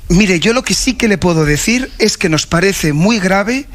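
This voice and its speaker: Man, Spanish accent, high-pitched, solemn, formal, emphatic, saddened, live interview. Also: expressive, moderate pace, a bit bright. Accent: Spain. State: saddened, shaken. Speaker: prosecutors' association president, interviewee. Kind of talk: live radio phone interview.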